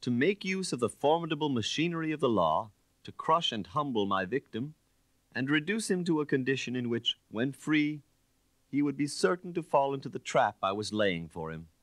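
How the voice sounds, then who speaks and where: Guy doing a posh-talking proud gentleman thief for an audiobook, grand and boastful, pitch swooping about, dry sound. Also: big pitch swings, moderate pace, no room sound, natural voice. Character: suave, boastful gentleman thief, theatrically self-satisfied. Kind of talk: audiobook character reading.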